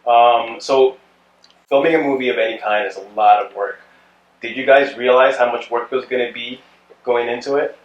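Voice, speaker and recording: A man hosting a podcast, asking questions in a relaxed, joking way. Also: medium pitch, moderate pace, highs cut off. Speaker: podcast host. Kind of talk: casual interview. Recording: roomy room, mic at a distance.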